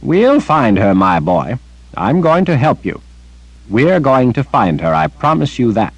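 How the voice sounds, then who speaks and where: A man voicing an elderly male wizard, a fretful, warm grandfather, with a high, quavering old voice. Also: huge pitch swings, slow pace, no room sound, exaggerated voice. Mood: tender.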